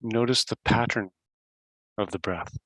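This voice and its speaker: A man leading a guided meditation as host, giving simple instructions in short phrases with long pauses. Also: on the low side, a bit bright, no echo, poor audio.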